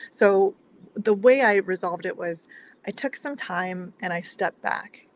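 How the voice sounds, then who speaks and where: A woman from Mexico speaking as a panelist in a composed, professional style on an online call. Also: lowish voice. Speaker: panelist, strategic planning leader. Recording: noisy background on call.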